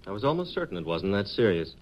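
Detached medical specialist, deep voice, measured, resigned, 1950s radio drama, muffled old recording. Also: male voice, sing-song. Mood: businesslike. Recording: dull, faintly noisy vintage radio recording.

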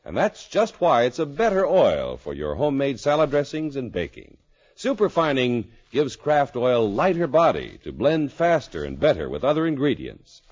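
A man's voice: medium pitch, wildly swooping pitch, moderate pace, a little mellow. A man announcing a 1950s radio show and reading sponsor copy in a polished, emphatic way. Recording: dull old radio recording. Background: music.

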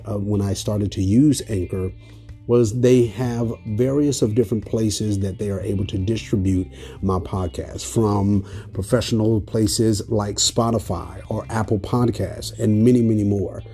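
A man's voice: lowish voice, warm tone. A man hosting a podcast, speaking in an earnest, emphatic way with repeated phrasing. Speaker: podcast host. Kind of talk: podcast intro and conversation.

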